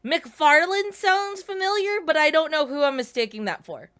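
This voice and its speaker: A woman's voice: high-pitched, expressive, normal speed, a bit bright. A woman co-hosting a casual podcast, asking curious questions and trailing off as she thinks. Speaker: podcast co-host. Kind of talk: casual chat.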